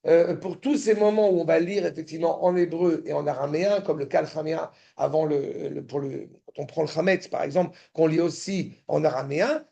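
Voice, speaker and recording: Male class teacher, high gravelly voice, stop-start delivery that checks in with the room. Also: mellow. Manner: halting, repeats words, checks in with listeners; turns insistent and indignant. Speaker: Torah teacher. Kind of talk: lecture in a study class.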